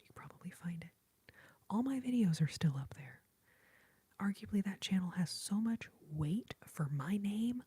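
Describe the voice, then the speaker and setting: A woman whispers very close to the microphone in an airy, almost voiceless hush. Her tone is mellow and soft-edged, and she speaks in a heartfelt, grateful way. The sound is dry, with no room echo. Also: fairly low pitch, breathy edge. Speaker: ASMR YouTuber hosting a livestream. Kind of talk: whispered ASMR livestream chat.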